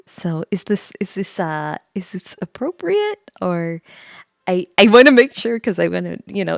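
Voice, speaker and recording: A woman chatting softly on an ASMR livestream, hushed and often slipping into whispers, halting and repeating her phrases, close to the microphone. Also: mid-range voice, no room sound. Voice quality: soft, breathy whisper mixed with hushed talk. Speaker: livestream host, VTuber. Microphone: close studio microphone, mouth sounds audible.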